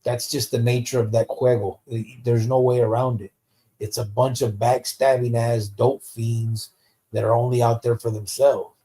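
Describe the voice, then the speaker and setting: A man's voice: medium pitch, little pitch movement, moderate pace, warm tone. Blunt streetwise man. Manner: slips Spanish slang into English. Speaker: podcast host. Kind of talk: live stream casual chat, reading out viewer comments.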